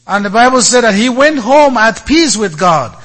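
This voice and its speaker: A man giving a sermon as a priest, speaking in short, deliberate phrases with many pauses. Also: very high voice, lively intonation, a bit bright.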